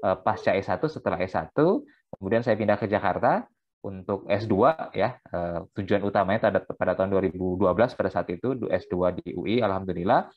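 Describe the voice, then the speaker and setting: Fast-talking man on a tinny line. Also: deep voice. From South Sumatra, Indonesia. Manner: fast, repetitive, many fillers and "ya". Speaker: guest speaker. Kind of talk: online seminar talk.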